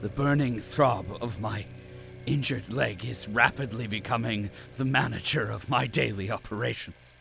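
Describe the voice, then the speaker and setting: An actor playing a pompous, self-pitying company official in an audio drama, speaking in florid, grandiloquent phrases with melodramatic flair. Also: male voice, mid-range voice, moderate pace, creaky, a bit bright, faint music behind. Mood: weary, mournful pomp.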